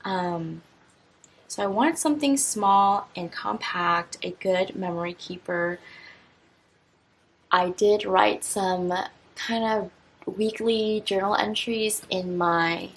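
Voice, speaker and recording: A woman in her twenties, a teacher, talking through a stationery unboxing in a relaxed, rambling way full of fillers and restarts. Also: on the low side, gravelly, slightly rough, low-quality recording. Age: 20s. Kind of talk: casual unboxing vlog.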